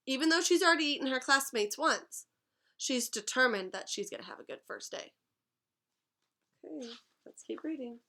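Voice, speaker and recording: Bright-voiced teacher reading aloud. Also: female voice, high voice, normal speed, long pauses. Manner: patient, explains words and asks questions. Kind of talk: read-aloud storytime lesson.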